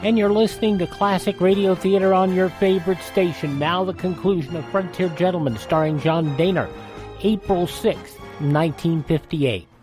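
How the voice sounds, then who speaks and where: A man's voice: high-pitched, moderate pace, darkish tone, soft background music. A man with an American accent hosting radio, reading ads and announcements in a conversational, folksy way with emphatic stresses. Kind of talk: folksy ad reads and program announcements.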